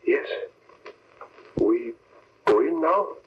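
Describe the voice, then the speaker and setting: Faithful sidekick, short clipped lines, old muffled radio serial. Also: male voice, on the high side, animated, extremely slow, a little hiss or hum. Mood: tense, alert. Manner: clipped broken English, terse. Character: loyal Filipino valet and sidekick, quiet, watchful man. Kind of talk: old-time radio drama acting.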